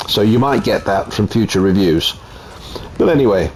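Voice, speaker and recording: A man's voice: lowish voice, lively intonation, moderate pace, crowd noise, rough recording. A man from Italy with an Italian accent, chatting casually at home through gameplay commentary with frequent ums. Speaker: game reviewer.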